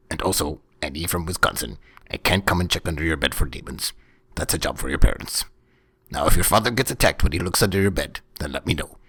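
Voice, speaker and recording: A man playing an earnest, mock-serious demon hunter with a husky voice, giving a spoof radio public service announcement. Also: deep voice, a bit bright, exaggerated voice. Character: earnest self-styled demon hunter addressing radio listeners.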